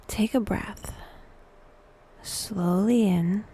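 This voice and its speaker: A woman narrating a scripted guided meditation in a hushed, breathy, often near-whispered voice, with a wry, dry delivery. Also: mid-range voice, drawn-out words, a little hiss or hum, poor audio.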